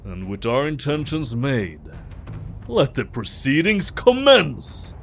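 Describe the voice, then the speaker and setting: Casting: male actor as lordly male vampire ruler for audio drama, ceremonial and archaic, unhurried, with expressive pitch. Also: soft background music, heavily acted. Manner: grand, stately, archaic diction.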